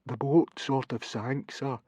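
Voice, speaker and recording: A man's voice: mid-range voice, moderate pace, no room sound, voice pushed up, close to an everyday voice. A man voicing a timid, miserable Viking boy in an audiobook, meek, stammering and apologetic.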